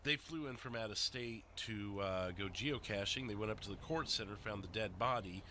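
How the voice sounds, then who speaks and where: Male streamer host, lowish pitch, very bright and forceful tone, casual, thinking out loud. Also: normal speed, slight background noise. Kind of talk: casual game stream chat and roleplay.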